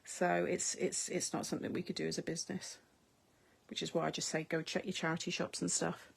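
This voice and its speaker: A woman's voice: lowish voice, flat delivery, fairly quick, creaky, breathy edge. A middle-aged British woman from the UK, chatting casually with viewers on a crafting livestream, reading their comments aloud and laughing often. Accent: British English. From United Kingdom. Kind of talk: casual live chat while crafting.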